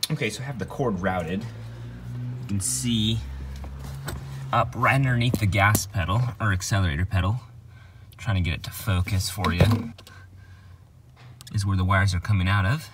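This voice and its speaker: A man's voice: fairly low pitch, moderate pace, pauses often, noisy background. A man hosting a do-it-yourself video, talking through a job step by step in a casual, plain-spoken, informal way. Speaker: DIY video host. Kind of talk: casual how-to walkthrough.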